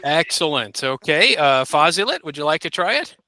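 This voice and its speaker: A man teaching a basic English class over a video call, patiently modeling phrases slowly for his students. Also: on the high side, sing-song, sharp tone. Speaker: ESL teacher. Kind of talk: encouraging classroom instruction.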